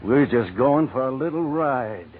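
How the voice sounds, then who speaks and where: An actor playing a cocky, menacing crook in an old-time radio crime drama, with a tough, slangy, clipped delivery. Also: male voice, medium pitch, expressive, unhurried, natural voice. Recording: tinny, noisy vintage radio recording.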